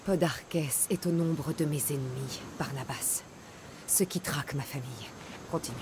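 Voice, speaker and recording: Woman acting low-voiced, curt mercenary heroine. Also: normal speed, background noise, natural voice. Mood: terse. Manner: curt, sardonic. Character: tough, dry-witted young female Greek mercenary. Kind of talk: video game acting.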